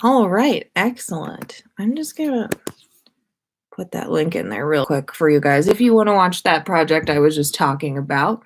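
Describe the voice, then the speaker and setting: A woman's voice: low voice, expressive, moderate pace. A woman hosting a casual livestream, chatting informally and greeting her listeners. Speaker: livestream host and podcaster.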